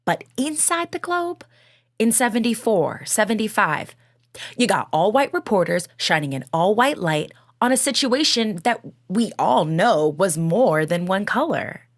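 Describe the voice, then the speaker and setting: A woman's voice: medium pitch, very animated, a little slow. A woman acting as a skeptical questioner in an audio play, conversational and challenging.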